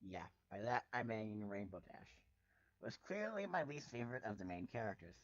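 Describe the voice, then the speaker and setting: A man reading fan fiction aloud as a dramatic first-person narrator, with a wry, expressive delivery. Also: lowish voice, moderate pace, dry recording, dull audio.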